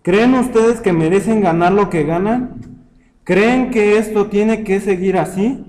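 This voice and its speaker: Defiant young male labor agitator, played by a man, high voice, declamatory story oratory, drenched in hall reverb. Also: measured pace, a little mellow. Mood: defiant, indignant. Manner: declamatory, rhetorical speechmaking. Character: defiant young male worker rallying his comrades.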